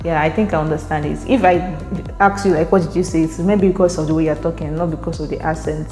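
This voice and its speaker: A woman's voice: low-pitched, steady tone, fast talker, dark tone. A young Nigerian woman vlogging casually. She speaks with a Nigerian accent, chatty, laughs often and repeats words while she thinks. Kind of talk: casual vlog to camera. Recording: echoey room, faint music.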